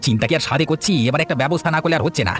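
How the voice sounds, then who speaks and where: A man's voice: a little high, rapid-fire, faint music behind, natural voice. A man voicing a brash, resentful village husband out for revenge in a cartoon folk tale. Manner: indignant, boastful.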